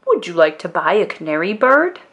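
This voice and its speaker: A woman voicing a persistent travelling pet salesman in a children's storybook, with an inviting, questioning sales pitch. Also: sing-song, brisk, vocal fry, a bit bright, dull audio, full character voice. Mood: coaxing.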